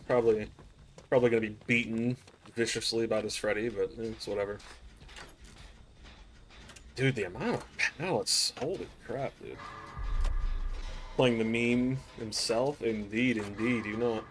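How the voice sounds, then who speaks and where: A man with a raspy, hoarse voice from a recent cold, chatting casually to his viewers while playing a game on a live stream. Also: mid-range voice, a little hiss or hum. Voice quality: raspy throat. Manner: laid-back, chatty, slangy asides to chat. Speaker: gaming streamer. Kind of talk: casual live gameplay commentary.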